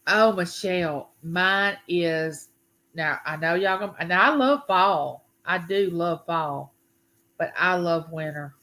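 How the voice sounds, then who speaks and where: Bright-voiced Southern woman in her fifties. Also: fairly low pitch. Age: 50s. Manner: chatty, folksy, drawn-out phrases. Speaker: YouTube livestream host. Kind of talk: casual live chat with viewers.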